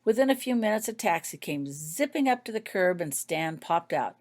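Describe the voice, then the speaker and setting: A woman's voice: fairly low pitch, sing-song, moderate pace. A woman narrating a scripted story, reading her own fiction aloud.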